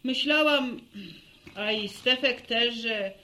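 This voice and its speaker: A woman's voice: on the high side, slow pace, bright, forceful tone. An actress playing a fretful, plain-spoken middle-aged village mother in a radio drama, fussing and exclaiming. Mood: earnest. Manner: exclaiming, fussing, sighing over family. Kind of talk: naturalistic radio drama acting. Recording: roomy, distant radio recording, faint noise.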